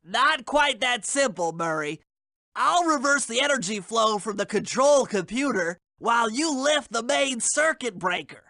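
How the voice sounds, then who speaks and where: A man's voice: very high-pitched, expressive, a little slow, sharp tone, dry recording, clean studio sound, full character voice. A man voicing a fussy, brainy gadget expert in a video game, speaking in a precise, formal, instructive way. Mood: earnest, instructive. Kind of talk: video game mission dialogue.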